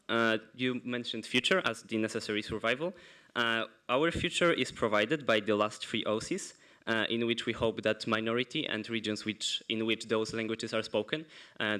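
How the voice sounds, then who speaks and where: A man with a bright, clean and clear voice sitting a little low in pitch. He answers a speech at a formal assembly, pausing often on fillers as he gathers his points. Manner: hesitant, many filler pauses. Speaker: committee delegate answering a speech. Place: large assembly hall.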